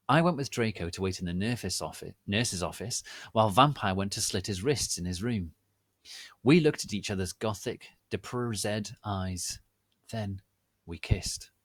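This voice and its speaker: Man, lowish voice, slow deliberate pace, theatrical narration, wide intonation, dry sound. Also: dry recording. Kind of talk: dramatic comic story reading.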